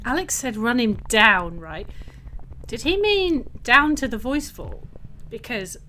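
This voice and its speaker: A woman's voice: on the high side, expressive, slow pace, bright, forceful tone, slight background noise, full character voice. A woman playing a cool, menacing villain in a comic horror audio drama, dry and commanding. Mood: dry menace. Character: cool, menacing woman hunter serving evil tower.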